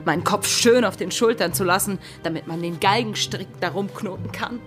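Actress as stubborn, proud young female pirate for radio drama; mid-pitched, defiant, dramatic, light music underneath. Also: normal speed, natural voice. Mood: bitter, wary. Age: young adult. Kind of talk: German radio play acting.

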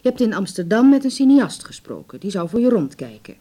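A woman's voice: mid-range voice, big pitch swings, fairly quick, dark tone. An actress playing an anxious, devoted middle-aged mother in a naturalistic radio play, with a hesitant, sighing, pleading way of talking. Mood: worried, reproachful.

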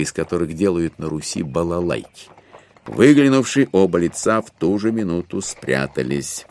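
A man narrating a literary classic for an audio drama in an expressive storytelling manner. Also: sits low, creaky. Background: faint music.

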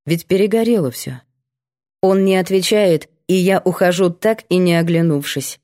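A woman's voice: on the low side, normal speed, warm tone. A woman reading a first-person novel aloud as scripted audiobook narration.

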